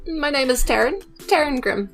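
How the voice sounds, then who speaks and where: A woman's voice: high voice, expressive, moderate pace, gravelly, fairly bright tone, soft background music, voice pushed up, heavily acted. A woman playing a polite, unsure young quest-giver in a tabletop game, speaking in a hesitant, friendly way.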